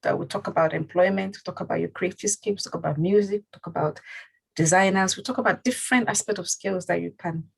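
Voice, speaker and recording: A woman's voice: on the low side, fairly quick, gravelly, slightly rough, poor audio. A woman hosting a community talk show, speaking casually and rambling with many fillers and restarts. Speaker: host.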